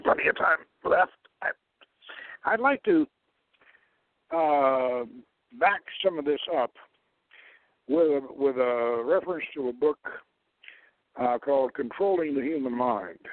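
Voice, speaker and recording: A man hosting a radio talk show, lecturing in a deliberate, halting way. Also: mid-range voice, no echo. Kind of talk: talk radio lecture, partly read aloud. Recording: tinny, phone-like radio line.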